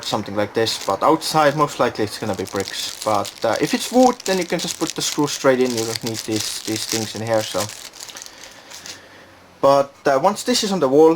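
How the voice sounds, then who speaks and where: A man's voice: mid-range voice, slight background noise. A man giving a casual, unscripted product demonstration, thinking aloud and hesitating as he goes. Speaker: product reviewer.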